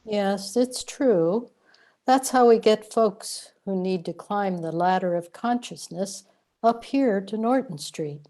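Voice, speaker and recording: A woman's voice: mid-range voice, takes it slowly, warm tone, lightly acted. A woman playing a matter-of-fact afterlife guide who explains cosmic trickery in an amateur sci-fi audio comedy.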